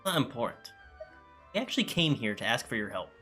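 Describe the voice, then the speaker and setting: A man's voice: medium pitch, sing-song, a little fast, over loud music. A man reading video game dialogue choices aloud as a gaming video creator.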